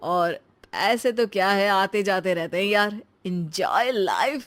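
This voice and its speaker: A woman's voice: mid-range voice, slow, fairly bright tone. A woman voicing a boastful, breezy young husband who spends freely, in a cartoon story. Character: showy, carefree husband, big spender, cartoon young man.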